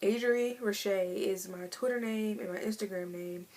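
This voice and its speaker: A woman of twenty in a casual vlog, chatting in a relaxed way with many fillers as she answers tag questions. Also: medium pitch, unhurried. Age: 20s. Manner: chatty, laid-back, lots of fillers. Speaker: vlogger. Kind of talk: casual tag video chat.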